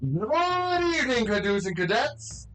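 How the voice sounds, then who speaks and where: A woman voicing a living TV set, a loud theatrical broadcast host with exaggerated announcer patter, in an audio drama. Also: on the high side, very animated, a little slow, soft background music. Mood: showy, excited.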